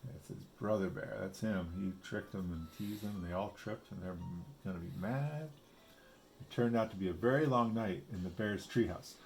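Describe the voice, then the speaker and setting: A man's voice: low voice, slight background noise, low-quality recording. A man reading a picture book aloud with casual asides, explaining simply as if to a young child. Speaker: storybook reader.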